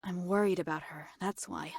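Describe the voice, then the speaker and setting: A woman's voice: lowish voice, fairly even intonation, normal speed, creaky, a little mellow, breathy edge, no echo, studio quality. An actress playing a cool, pragmatic, watchful female squad member in an anime game, composed and matter-of-fact. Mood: quietly concerned. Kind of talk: scripted anime game voice acting.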